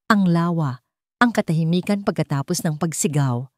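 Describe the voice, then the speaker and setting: A woman's voice: deep voice, expressive, moderate pace, a little mellow, no room sound, studio quality. A woman storyteller giving a scripted, dramatic narration of an emotional tale.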